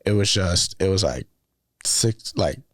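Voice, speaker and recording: A young man from the Dominican Republic, whose first language is Spanish, chats about his plans as a student filmmaker. His delivery is relaxed and rambling, full of fillers, with a lowish voice. The sound is dry and close, with no room around it. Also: a little slow, no echo. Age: young adult. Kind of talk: casual conversation.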